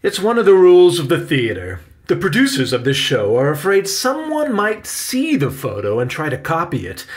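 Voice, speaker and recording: Guy doing a friendly uncle who acts on stage, kinda firm, explains stuff, super animated pitch, kids storybook. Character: genial theater actor uncle, warm and firm.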